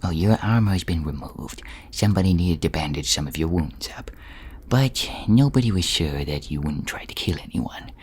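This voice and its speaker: Meek dungeon servant, very low hushed voice, raspy, rambling, dryly self-effacing, audio role-play. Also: male voice. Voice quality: hushed, whispery, throat-burnt rasp. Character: meek, chatty dungeon servant and poison taster, male.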